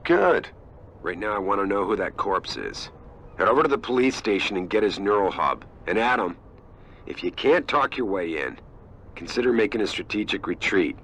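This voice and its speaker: An actor playing a brisk boss who hands out orders in a commanding way in a video game cutscene. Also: male voice, fairly low pitch, expressive, a bit bright, a little hiss or hum. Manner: brisk, directive.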